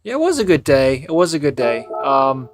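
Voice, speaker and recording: A man whose first language is Icelandic, chatting casually and calling his sim racing live stream, with a relaxed, rambling way of talking full of fillers. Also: medium pitch, a little fast, crowd noise. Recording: loud game music behind. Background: music and car engine sounds.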